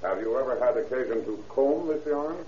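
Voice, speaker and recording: A man playing a courteous, methodical defense attorney in an old-time radio courtroom drama, speaking formally and deliberately. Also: medium pitch, warm tone, natural voice. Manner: formal, deliberate, politely lawyerly. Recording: echoey, muffled old radio recording, faint hiss.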